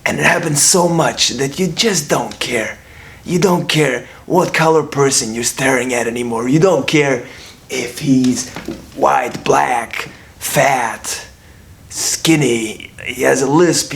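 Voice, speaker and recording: A man's voice: mid-range voice, slow, gravelly. A man in his twenties from Russia with a Brooklyn accent, talking casually to camera in a rambling way, repeating himself and restarting often. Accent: Brooklyn New York. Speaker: vlogger.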